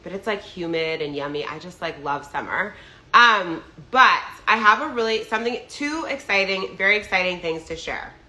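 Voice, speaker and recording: Woman, young adult, slightly nasal from a cold, bright tense tone, echoey room, chatty coaching talk. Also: lowish voice, lively intonation, moderate pace. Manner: chatty, filler-heavy, often asks "right?". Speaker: business coach. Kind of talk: casual monologue to followers. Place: New York.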